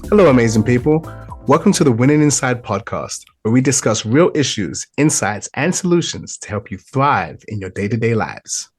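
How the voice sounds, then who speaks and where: A woman's voice: extremely low pitch, animated, moderate pace, darkish tone, husky, faint music behind. A middle-aged woman co-hosting a podcast, bubbly and playful, laughing often, her voice just back after an illness. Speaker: podcast co-host. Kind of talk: casual chat.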